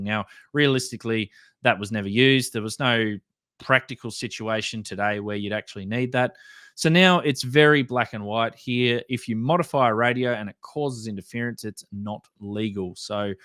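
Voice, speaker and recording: A man's voice: lowish voice, a bit bright, dry recording, clean studio sound. A man with an Australian accent hosting and explaining a document in a plain-spoken, informal way, working through it point by point. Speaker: host of a radio hobby channel.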